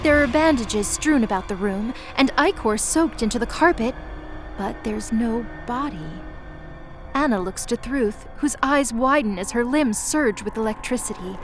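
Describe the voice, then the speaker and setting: A woman serves as narrator for a dramatic mythology audio story. Her voice is fairly high, and she reads from a script over a faint bed of music. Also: moderate pace.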